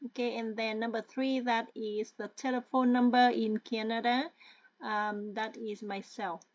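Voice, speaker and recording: A woman talks through a tutorial with patient, hesitant pacing and frequent check-ins with her listener. Her voice sits fairly high and sounds dry and close, with no room around it. The recording itself is dull and a little lo-fi. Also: little pitch movement, a little slow, no echo. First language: Vietnamese. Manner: hesitant, with many fillers. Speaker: tutorial presenter. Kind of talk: step-by-step screen-share walkthrough.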